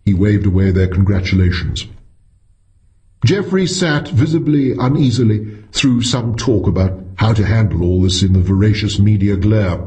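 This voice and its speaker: A man's voice: medium pitch, dark tone. A man reading literary fiction as a scripted audiobook narration.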